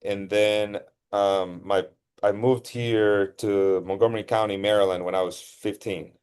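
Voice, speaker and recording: A middle-aged man from Costa Rica speaks in a fairly low voice. He answers interview questions in a relaxed, rambling way, often correcting himself mid-sentence. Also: a little slow, smooth. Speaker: guest, surgeon. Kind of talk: casual interview storytelling.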